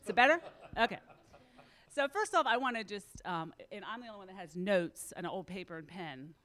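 A woman's voice: medium pitch, lively intonation, a little fast, a bit bright. A woman nervously sharing a testimony with a church audience, close to tears and checking the microphone. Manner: nervous and emotional, fusses with the mic. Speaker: mission team member. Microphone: handheld microphone.

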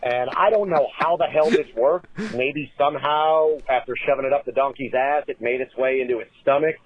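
Male caller, phone-line audio, halting and restart-heavy delivery. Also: mid-range voice. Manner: halting, many fillers and restarts. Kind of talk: recorded voicemail story.

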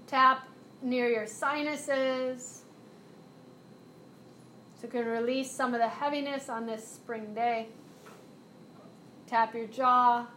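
A woman instructor guiding a live chair yoga and mindfulness class, cueing movements and breaths in a calm, unhurried way. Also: a little high, a little hiss or hum. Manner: slow, soothing cueing with breath prompts.

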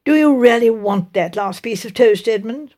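A woman's voice: a little high, animated, moderate pace, dark tone, lightly acted. A chatty middle-aged English village mother, as a woman narrator plays her, swoops up and down as she talks. She is decided and exclaiming, every phrase firmly stressed, in an audiobook mystery. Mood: doubtful, curious.

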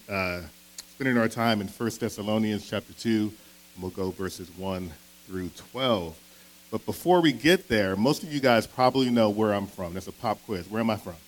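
A fairly low-voiced man preaches a sermon in an earnest, conversational manner. He often checks in with his listeners through quick tag questions. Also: rough recording. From Philadelphia. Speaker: pastor. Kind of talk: sermon with opening prayer.

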